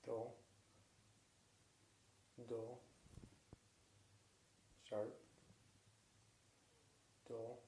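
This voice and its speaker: A man's voice: medium pitch, monotone, very slow, long pauses, warm tone, echoey room, slight background noise. A man answering as a patient during an exam, in short, understated replies.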